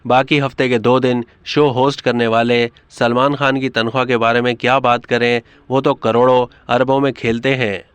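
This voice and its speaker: A man's voice: mid-range voice, level tone, moderate pace, hardly any pauses, clean tone, dry recording. A man reading a scripted narration for an entertainment news video.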